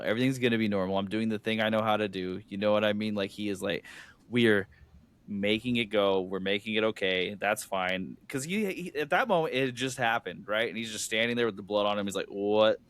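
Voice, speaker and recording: A man's voice: on the low side, fairly quick, fairly bright tone. A man co-hosting a casual film review podcast, rambling with many restarts. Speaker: podcast co-host.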